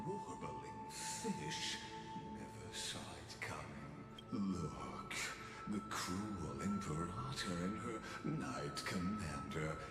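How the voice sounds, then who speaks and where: A man's voice: deep voice, heavily acted. A man playing a grim, brooding narrator of a tragic war in a video game, speaking solemnly in an echoing hall under music. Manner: slow, solemn, brooding delivery. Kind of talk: dramatic video game acting. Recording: echoey hall reverb under loud music.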